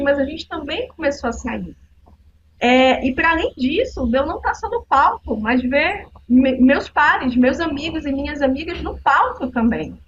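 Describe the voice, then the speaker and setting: High-voiced Belém woman in her forties. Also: highs cut off, slight background noise. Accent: Paraense (Belém). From Belém, northern Brazil. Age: 40s. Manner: storytelling, animated. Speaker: guest, social entrepreneur. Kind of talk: casual podcast conversation.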